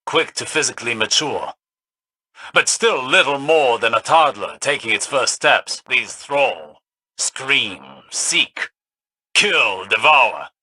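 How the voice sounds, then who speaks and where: An actor playing a stern, haughty older male warlock mentor in a video game, speaking in a formal, measured, lecturing way. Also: mid-range voice, expressive, pushed and bright, breathy edge, no echo, full character voice, low-quality recording. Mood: stern, cold. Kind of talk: dramatic video game dialogue.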